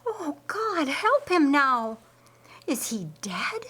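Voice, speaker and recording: An excitable, easily flustered woman from a Bible storybook, acted out by a female reader. Her speech is dramatic and exclamatory, often leaping high in pitch. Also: drawn-out words, heavily acted. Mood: horrified, urgent.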